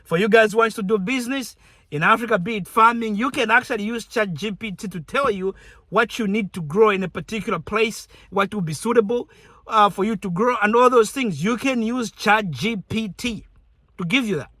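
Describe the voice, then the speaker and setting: A man's voice: high-pitched, fairly quick, pushed and bright, dry recording, clean studio sound. A young man from Zambia talking casually to his viewers, chatty and conversational, often restarting his sentences. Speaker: YouTuber. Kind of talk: casual direct-to-camera talk.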